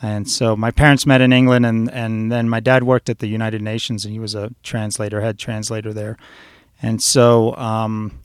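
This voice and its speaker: A man in his forties talks casually as a guest, his voice smooth and resonant. He rambles through stories in a relaxed way, full of ums and uhs. The sound is dry and close. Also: fairly low pitch, moderate pace, dry recording. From born in Switzerland, raised in New York City. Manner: relaxed, rambling storyteller with frequent fillers. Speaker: chef guest. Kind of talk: casual interview talk.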